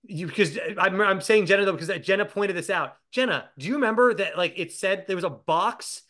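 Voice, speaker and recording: A man's voice: sits high, fairly quick, sharp tone. A man co-hosting a casual aftershow chat over a video call, joking and rambling with lots of "like". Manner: joking, rambling with many fillers. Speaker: co-host.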